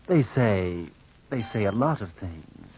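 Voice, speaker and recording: An actor playing a secretive young nephew in a 1940s radio drama, theatrical and halting, repeating phrases as if confessing. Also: male voice, on the low side, sing-song, darkish tone, slight background noise, exaggerated voice. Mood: brooding, haunted. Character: brooding, secretive young nephew, devoted heir narrating his past. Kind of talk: 1940s radio acting, confessional narration and dialogue. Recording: dull vintage radio drama recording.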